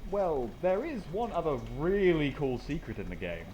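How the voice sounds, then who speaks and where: A man's voice: a little high, huge pitch swings, moderate pace, darkish tone, a little hiss or hum, low-quality recording. A man playing an earnest, pedantic video game trivia nerd who gets exasperated easily, in a comedic dramatized dialogue. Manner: pedantic, emphatic, indignant when doubted.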